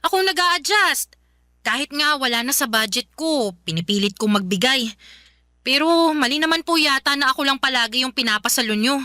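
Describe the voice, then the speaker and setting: A woman's voice: high voice, animated, fairly quick, pushed and bright, no room sound, clean studio sound. A woman acting a weary, polite young daughter who protests and pleads, in a Filipino radio drama with a Filipino accent. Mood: aggrieved protest. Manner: respectful but protesting, pleading.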